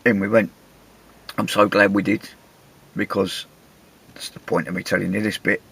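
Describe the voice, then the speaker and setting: An English man, middle-aged, with a gravelly, husky voice, telling a travel story in a chatty, wry, self-mocking way. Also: fairly low pitch, flat delivery, moderate pace, a bit bright. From England. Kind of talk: casual anecdotal storytelling.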